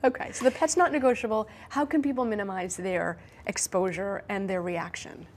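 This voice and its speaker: A woman's voice: mid-range voice, fairly even intonation, slight background noise. A woman doctor hosting a health interview show, asking follow-up questions and summing up her guest's answers. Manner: inquisitive, recaps answers back, brisk follow-up questions. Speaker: talk show host, doctor.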